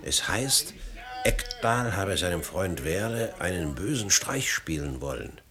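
An officious, gossipy manservant in a German radio play. His voice is on the low side, and a crowd murmurs in the background. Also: very animated. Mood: gossiping. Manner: brisk, officious. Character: fussy, gossipy manservant in a wealthy household. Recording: busy crowd murmur behind.

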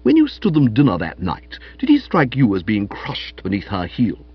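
Male reader as blustering older English aunt, audiobook comedy; emphatic, slangy, very animated delivery, slightly dull recording. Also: on the low side, normal speed, breathy edge, heavily acted. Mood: earnest, suspicious. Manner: emphatic, slangy, scolding upper-class talk. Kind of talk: audiobook character voice.

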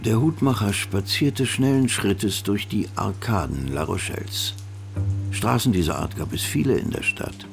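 A man's voice: lowish voice, lively intonation, unhurried, creaky. A man narrating a radio drama from a script. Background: music.

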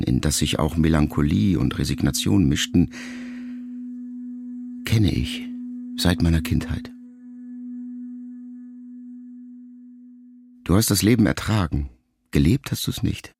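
An actor playing a melancholy, reflective writer son in a radio drama, speaking in measured, intimate, halting phrases as if to his mother. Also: a bass voice, creaky, exaggerated voice. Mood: somber, reflective.

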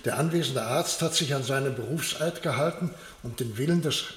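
An actor playing a stern, formal presiding judge in a German radio play, speaking in a deliberate official manner in an echoey, noisy recording. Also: male voice, medium pitch, close to an everyday voice. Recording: echoey courtroom sound with background noise.

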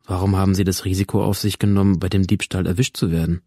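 A man's voice: low-pitched, level tone, quick pace, dark tone, breathy edge, dry recording. Formal, probing male doctor, dry-sounding. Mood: sceptical, probing. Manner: formal, analytical, insistent. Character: probing, formal male doctor questioning his troubled patient. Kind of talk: radio drama dialogue.

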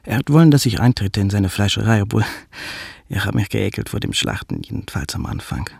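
A hesitant butcher's son in a radio play, speaking. The low voice is very husky and creaky, close and dry, as it reminisces haltingly. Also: male voice, big pitch swings, no room sound, exaggerated voice. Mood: hesitant, reflective. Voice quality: husky, gravelly. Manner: halting, low-key reminiscing with inverted word order. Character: butcher's son, obedient, hesitant, recalling his past. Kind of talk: radio drama monologue.

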